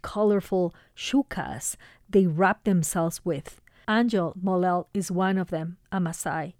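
Lower-voiced woman narrating a radio feature. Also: mellow, no echo, studio quality. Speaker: radio reporter.

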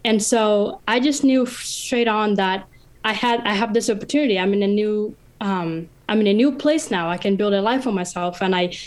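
A woman's voice: medium pitch, moderate pace. A young woman around twenty, from northern Tanzania, telling her own story in an earnest, open way with a few fillers and restarts. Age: about 20. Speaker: interviewee, university student. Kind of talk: casual personal account.

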